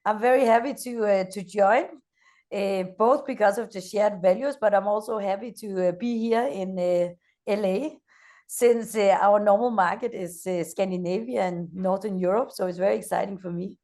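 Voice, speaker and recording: A woman with a Danish accent, a founder in her thirties, answers interview questions with lively energy. She stops often on "uh" as she looks for English words. Her pitch sits in the middle for a woman. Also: moderate pace. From Denmark. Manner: energetic, frequent "uh" hesitations. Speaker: guest, company founder. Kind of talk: interview conversation.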